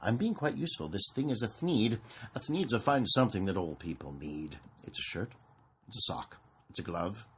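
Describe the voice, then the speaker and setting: A man's voice: fairly low pitch, expressive, moderate pace, a little hiss or hum, close to an everyday voice. A man playing a brash, greedy businessman and inventor in a storybook reading, boastful and salesman-like. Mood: defensive boasting.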